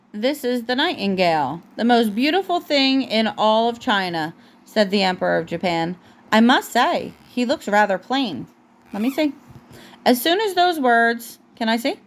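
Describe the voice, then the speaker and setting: Higher-voiced mother reading a bedtime story. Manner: halting, often breaking off to correct the child. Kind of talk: storybook read aloud at home, with asides to a child.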